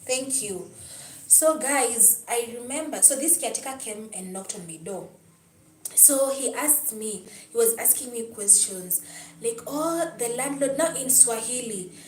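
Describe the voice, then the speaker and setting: Young Kenyan woman, chatty livestream host, slightly high voice, roomy and distant sound with light background hum. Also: expressive, a little slow. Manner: chatty, answers chat comments, storytelling. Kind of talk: casual livestream talk with viewers.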